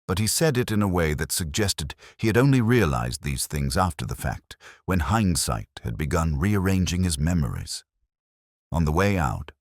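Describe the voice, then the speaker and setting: A man narrating a scripted first-person detective story in a measured, brooding, literary manner. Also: a bass voice, no room sound, studio quality.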